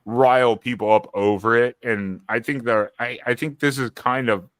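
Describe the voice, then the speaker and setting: A man's voice: medium pitch, normal speed, dry recording. Rambling man on a casual livestream. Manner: rambling, repeats words and restarts. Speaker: co-host.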